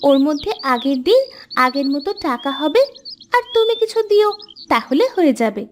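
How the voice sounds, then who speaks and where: A woman plays a poor but generous village girl from a cartoon. Her voice is extremely high, earnest and pleading, with a wistful, kindly temper. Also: big pitch swings, normal speed, a little hiss or hum. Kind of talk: cartoon character dialogue.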